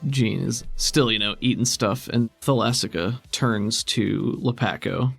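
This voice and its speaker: A man's voice: fairly low pitch, a little slow, gravelly, husky, no room sound, faint music behind. A man narrating a tabletop roleplaying game as game master, in a casual, descriptive way.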